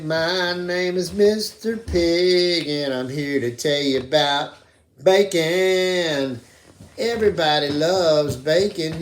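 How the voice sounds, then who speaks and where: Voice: medium pitch, echoey room, a little hiss or hum. An amateur puppeteer voicing a comical bacon-loving pig in a puppet show.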